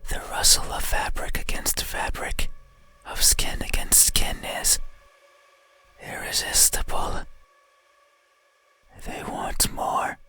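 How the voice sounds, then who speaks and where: Horror game monster: slow, breathy whisper, lonely and creepily intimate, craving to be seen. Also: male voice. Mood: obsessive longing. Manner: slow, halting, intimate, menacing. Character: lonely, creeping shadowy night creature, obsessively yearning to be seen. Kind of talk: whispered horror visual novel monologue. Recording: eerie horror music under the voice. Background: loud scary music.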